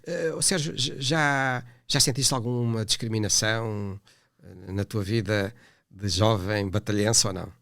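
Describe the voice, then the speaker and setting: A man with a European Portuguese accent hosting a podcast, asking measured, articulate questions with occasional fillers. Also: lowish voice, steady tone, vocal fry, fairly bright tone, no echo, clean studio sound. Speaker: podcast host. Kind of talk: podcast interview.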